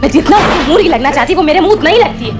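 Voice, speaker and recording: An actress playing a feisty, quarrelsome housewife, indignant and combative in a TV drama. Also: female voice, extremely high pitch, lively intonation, rapid-fire. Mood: irritated, combative. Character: feisty, quarrelsome housewife grumbling about neighbours. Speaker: actor in a TV crime drama. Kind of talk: TV drama acting. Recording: loud background music over dialogue.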